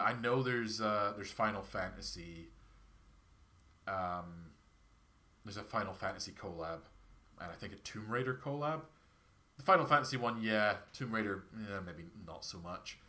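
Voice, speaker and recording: A man's voice: fairly low pitch, sharp tone. A man with a Perthshire Scottish accent and a scratchy throat from a cold, chatting casually and rambling while he streams a game. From Scotland. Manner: rambling, chatty, self-deprecating.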